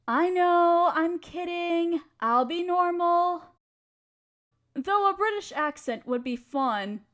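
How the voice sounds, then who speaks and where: A woman's voice: high-pitched, a bit bright, full character voice. A woman playing a playful, affectionate wife who loves poetry, theatrical and teasing, in a romantic audio roleplay. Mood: playful, flirty.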